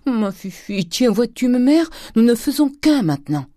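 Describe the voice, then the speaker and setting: A woman storyteller acts out an old miser of a father from a storybook. He wheedles and blusters in short, excitable exclamations. The voice jumps high and loud, recorded close and dry. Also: normal speed, dry recording. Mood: effusive.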